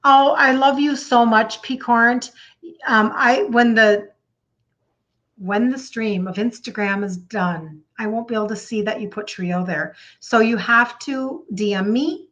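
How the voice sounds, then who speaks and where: A woman in her fifties with a Minnesota accent, hosting a casual live stream and chatting excitably with viewers by name. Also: on the high side, dull audio. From Minnesota, United States. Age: 50s. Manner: excitable, gushing, repeats phrases for emphasis. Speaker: live stream host, health coach.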